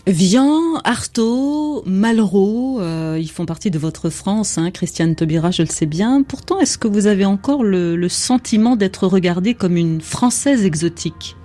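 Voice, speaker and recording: A woman hosting a radio interview, asking measured, probing questions. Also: mid-range voice, lively intonation, warm tone.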